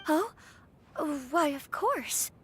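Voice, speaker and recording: A demure young noblewoman in a fantasy game, with a very high, melodic voice that moves freely up and down. She is gracious and genteel. There is clear noise and clicking behind her. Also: lively intonation, extremely slow, full character voice. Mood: polite, curious. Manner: polite, genteel. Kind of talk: video game voice acting.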